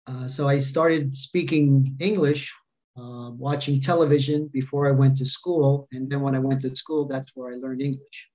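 A man's voice: medium pitch, fairly even intonation, dark tone, low-quality recording. Man sharing his faith story. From America. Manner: speaks in short phrases with pauses and fillers. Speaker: guest speaker sharing testimony. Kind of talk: personal faith testimony, paused for interpreter.

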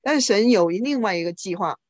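A woman interpreting a speaker's talk into another language live, quickly and informally, often correcting herself. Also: medium pitch, expressive.

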